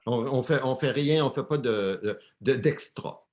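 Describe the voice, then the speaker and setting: A man's voice: medium pitch, measured pace, a little mellow. Man interpreting a sermon on the fly. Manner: searching, repeating words as he translates. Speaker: church interpreter.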